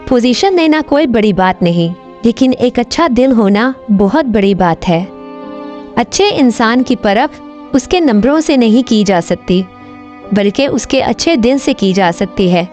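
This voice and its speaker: A woman playing a gentle, loving mother in a children's moral story, tender and encouraging, on a dull lo-fi recording. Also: on the high side, animated, measured pace, soft background music. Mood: gently admonishing. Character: gentle, loving mother reassuring and advising her family.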